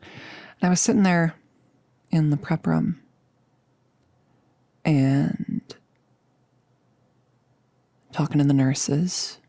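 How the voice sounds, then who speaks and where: A woman playing a nervous, chatty girlfriend in an intimate ASMR roleplay, rambling and confiding with hesitant pauses. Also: deep voice, steady tone, dark tone, dry recording. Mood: vulnerable, reflective. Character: nervous, chatty girlfriend about to become a vampire. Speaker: audio roleplay performer.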